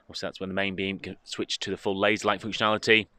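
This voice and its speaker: A British man giving an informative, steady walkaround presentation as a car dealership presenter, speaking into a handheld phone outdoors. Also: low-pitched, rapid-fire, no room sound. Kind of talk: walkaround product presentation, semi-improvised commentary. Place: outdoors in a car park, partly inside a car.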